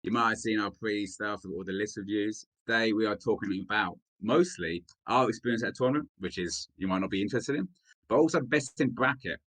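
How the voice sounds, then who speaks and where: A man with a British accent hosting a casual hobby chat, chatty and quick with jokes, laughing often. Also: on the low side, a bit bright, poor audio. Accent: British English. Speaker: podcast host.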